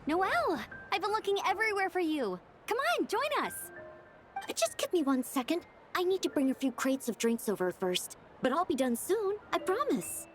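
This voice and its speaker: A woman's voice: very high-pitched, sing-song, normal speed, faint music behind. An actress playing an eager, bubbly, helpful young girl in a video game. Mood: eager, hurried. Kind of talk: video game character dialogue.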